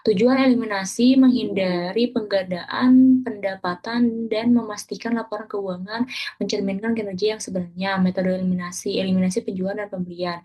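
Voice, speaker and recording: A woman's voice: mid-range voice, darkish tone, poor audio. A young woman student presenting an accounting class lesson, reading steadily from her slides and correcting small slips as she goes.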